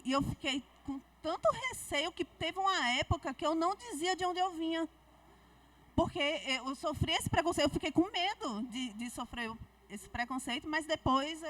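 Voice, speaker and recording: A high-pitched woman with a Paraíba Brazilian accent, speaking as an author on a book fair panel. She tells her stories frankly and with animation. The sound is dry and comes from a mic held some distance away. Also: a bit bright, no echo. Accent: Paraíba Brazilian Portuguese.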